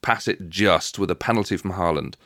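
A man's voice: sits low, brisk, fairly bright tone, dry recording, clean studio sound. A man hosting a casual football discussion, making emphatic points and repeating phrases to build them up. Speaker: football podcast host.

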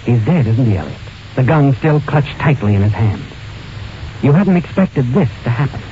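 An actor playing a knowing, taunting suspense narrator in an old radio drama, speaking deliberately in the second person. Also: male voice, mid-range voice, a little hiss or hum, natural voice. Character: knowing, insinuating male storyteller addressing the culprit. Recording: dull old radio recording with music.

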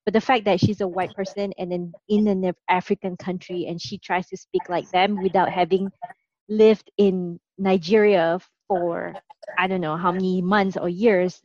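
A woman's voice: lowish voice, darkish tone, no room sound, lo-fi sound. Woman with a faint Singaporean accent. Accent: light Singaporean under an American-leaning accent. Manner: chatty, full of "like" fillers and restarts. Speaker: podcast co-host. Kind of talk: casual podcast chat.

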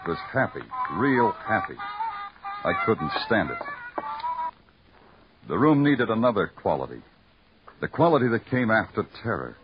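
A world-weary noir police lieutenant, narrating in a terse, wry tone with lively swings in pitch. Music plays clearly behind him, and the audio has the dull, muffled sound of vintage radio. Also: male voice, moderate pace, long pauses. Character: hardboiled male police lieutenant narrating his case. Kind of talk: hardboiled first-person radio narration.

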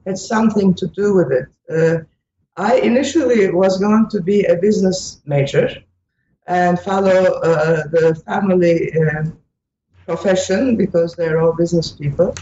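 A middle-aged woman with a Turkish accent answers as an interview guest. She speaks reflectively and haltingly, hesitating often. She sounds far from the mic in an echoey space, with faint noise behind her. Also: fairly low pitch, mellow. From Istanbul, Turkey. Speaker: interview guest, sociology professor and author. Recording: echoey, muffled, distant, noisy remote line.

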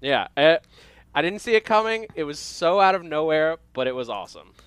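A man's voice: a little high, big pitch swings, a little fast, a bit bright, clear, resonant tone, no echo. A man hosting an entertainment news show, chatting upbeat and loosely with lots of filler. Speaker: show host. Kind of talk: casual entertainment news chat.

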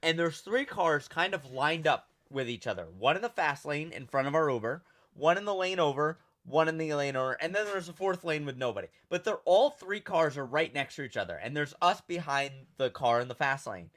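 Loud, animated young man storyteller. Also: a little high, fairly quick. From Rhode Island. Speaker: podcast co-host. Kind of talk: casual chat.

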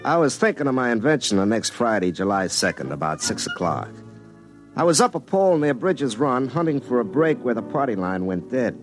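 A man's voice: mid-range voice, very animated, fairly quick, over loud music, lightly acted, rough recording. An actor playing a folksy young American telephone linesman and tinkerer in classic radio drama, stammering and correcting himself as he rambles. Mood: rambling narration. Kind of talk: classic radio drama acting and first-person narration.

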